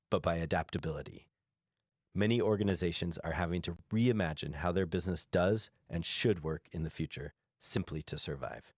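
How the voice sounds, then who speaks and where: Man, low voice, company executive, scripted earnings presentation, formal measured delivery, dry sound. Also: no echo.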